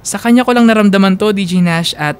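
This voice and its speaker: Male Filipino radio storyteller, first-person narration, smooth tone, a bit high in pitch. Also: quick pace. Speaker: radio host reading a listener's love story.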